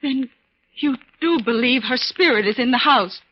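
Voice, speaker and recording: High-voiced, frightened wife in vintage radio horror. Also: female voice, normal speed, breathy edge. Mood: anxious, questioning. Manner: urgent, breathless melodrama. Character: frightened, pleading wife desperate to save her husband. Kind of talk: 1950s radio horror acting. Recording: dull, lo-fi vintage radio recording.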